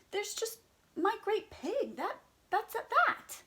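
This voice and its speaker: A woman doing a little storybook piglet who squeals high and breathy, his voice leaping all over the place as he boasts about his discovery. He is eager and proud, a small farm pig full of wonder. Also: big pitch swings, slow, noisy background, full character voice. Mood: stern. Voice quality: squealing, often breathy whisper. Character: excited young male pig, proud stargazer.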